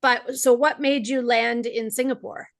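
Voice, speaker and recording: A woman's voice: fairly high pitch, fairly even intonation, a little slow. A woman hosting an interview podcast, enthusiastic and eager, often restarting her sentences. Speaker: podcast host. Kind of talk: casual interview conversation.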